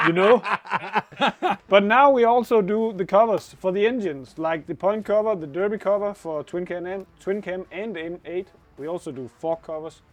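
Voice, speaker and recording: A man in his thirties from Denmark, speaking English with a Danish accent as a co-owner of a motorcycle shop, with halting speech full of fillers and laughs. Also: high voice. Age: 30s. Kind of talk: casual chat. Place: motorcycle workshop showroom.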